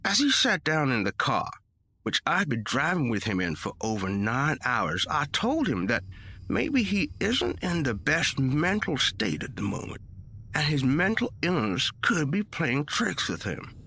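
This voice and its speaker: A man's voice: on the high side, very animated, unhurried, pushed and bright, husky. A man reading a first-person story in a dramatic, conversational, emphatic storytelling style. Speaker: story reader. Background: music.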